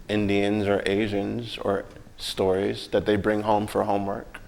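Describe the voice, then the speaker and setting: A man's voice: on the low side, vocal fry. A man in casual, reflective conversation, telling his experiences emphatically and often checking in with rhetorical questions. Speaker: school bus monitor sharing experiences.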